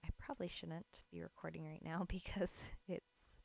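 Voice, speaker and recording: A woman hosting a podcast in an earnest, encouraging way, her voice raspy because it is early morning. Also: fairly low pitch, little pitch movement, moderate pace, darkish tone. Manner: earnest, encouraging, laughs lightly. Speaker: podcast host. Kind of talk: casual solo podcast talk. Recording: dry close mic.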